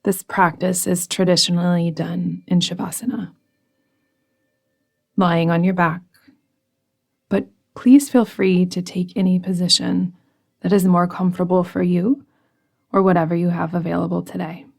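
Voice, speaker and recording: A woman guiding a yoga nidra meditation in a soft, soothing, gently instructing manner, with breathy phrase endings. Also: lowish voice, little pitch movement, unhurried, pauses often. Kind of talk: guided meditation. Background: music.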